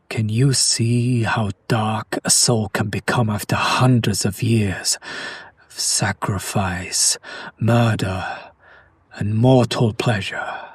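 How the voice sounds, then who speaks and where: A man playing a centuries-old noble vampire in an intimate audio roleplay, formal and theatrical, tormented and romantic, with hushed, dramatic pauses. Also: fairly low pitch, breathy edge, dry recording, heavily acted. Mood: seductive, dark. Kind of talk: intimate audio roleplay monologue addressed to the listener.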